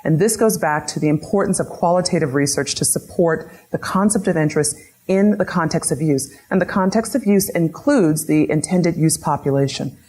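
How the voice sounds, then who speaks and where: A woman's voice: sits low, moderate pace, dark tone, breathy edge. A woman giving an academic lecture, methodically posing a string of questions to her audience. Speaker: lecturer on patient-reported outcomes. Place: lecture room.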